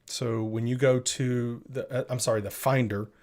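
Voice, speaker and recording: A man's voice: mid-range voice, fairly even intonation, normal speed, a little mellow. A man co-hosting a tech news show, talking casually and unscripted as he explains things step by step.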